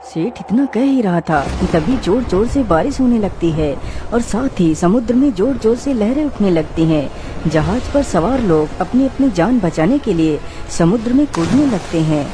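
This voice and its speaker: A woman narrates a cartoon storybook tale in a scripted style. Her voice sits at an ordinary female pitch, with music clearly playing behind it. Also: expressive, moderate pace. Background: clear background music.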